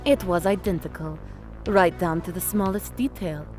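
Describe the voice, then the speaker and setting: A woman's voice: on the low side, normal speed, a little mellow, natural voice. A woman playing a scornful, imperious female crime boss in an audio drama. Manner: contemptuous, imperious. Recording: music behind the voice.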